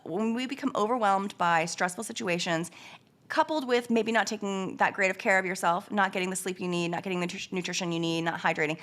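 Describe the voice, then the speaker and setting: Hoarse woman podcast host with a cold. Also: fast. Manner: earnest, musing, with animated jumps in pitch. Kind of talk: casual conversational podcast.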